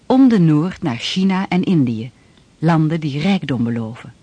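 An actor narrating a historical radio play in a formal, measured, declamatory way. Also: male voice, fairly high pitch, expressive, warm tone, breathy edge, no echo. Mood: stately. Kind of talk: scripted narration. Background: music.

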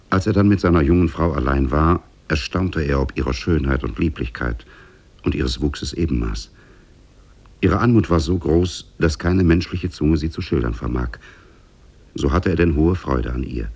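A man as a solemn storyteller narrating a 1950s radio drama, gravelly and husky. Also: a bass voice, darkish tone, heavily acted. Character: solemn storyteller of Arabian Nights tales.

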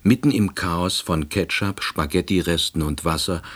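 An actor playing a hapless, pedantic husband and novice salesman who narrates his own story in a radio play, deadpan and precise. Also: low-pitched, moderate pace. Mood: wry, harried.

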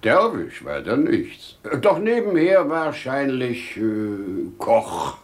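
An actor playing a wise, shrewd older father in a 1950s German radio play, theatrical and rhetorical, dwelling on words with dramatic pauses. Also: medium pitch, sing-song. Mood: surprised, amiable. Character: wise older merchant father, male, shrewd, teasing yet earnest.

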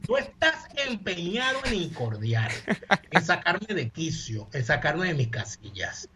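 A man's voice: on the high side, big pitch swings, a little slow, bright, forceful tone, a little hiss or hum. A man co-hosting a talk show over a video call from abroad, casual and teasing. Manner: teasing, playful. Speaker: co-host. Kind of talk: casual radio talk, joking. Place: remote from abroad.

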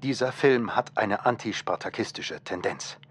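A man's voice: on the low side, expressive, normal speed, slightly rough. A man speaking scripted commentary in a documentary radio play. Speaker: narrator and commentator.

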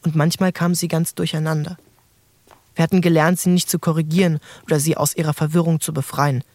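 An actor playing a grown son recalling his wild boyhood, narrating a literary radio play in measured, incantatory short phrases. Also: fairly high pitch, level tone, darkish tone, dry recording, lightly acted. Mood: tender, reflective. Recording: music behind the voice.

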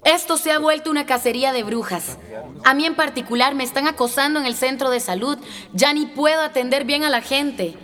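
High-voiced activist, echoing hall. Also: female voice, a little fast, a bit bright. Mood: rallying. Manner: declamatory, resolute. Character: female activist leader, firm and rallying. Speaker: actor in a radio drama. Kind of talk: radio drama public speech. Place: reverberant meeting hall scene. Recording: echoey hall with long reverb.

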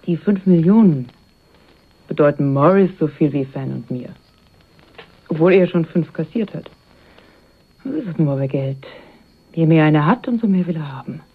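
A woman's voice: low voice, expressive, moderate pace, long pauses, slightly rough, exaggerated voice. An actress playing a self-possessed, mercenary woman in a radio crime drama, speaking with guarded, dry irony. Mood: cool, dry. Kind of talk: 1980s German radio crime drama acting. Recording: dull, band-limited radio play recording.

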